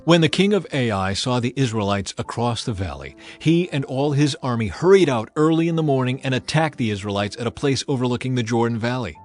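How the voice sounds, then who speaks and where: A man's voice: mid-range voice, animated, fairly quick, hardly any pauses. A man reading scripture as a scripted, dramatized audio Bible narration. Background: background music.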